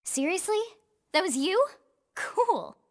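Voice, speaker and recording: High-voiced American eager young female soldier, breezy and expressive game cutscene read, music behind. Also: slow pace, no echo, clean studio sound, exaggerated voice. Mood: upbeat, impressed. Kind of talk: video game cutscene acting.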